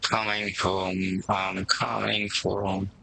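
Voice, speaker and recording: A man's voice: sits low, flat delivery, drawn-out words, gravelly, pushed and bright, slight background noise, poor audio. A man muttering sparse, short remarks while playing a game.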